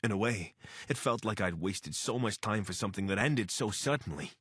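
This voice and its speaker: Game soldier, deserter pilot, lowish pitch, animated delivery, conversational, earnest, dry close recording. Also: male voice, fairly quick, husky, no echo. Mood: earnest, regretful. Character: anxious, wry male deserter soldier and pilot. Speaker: voice actor for a companion character. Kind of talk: video game character dialogue.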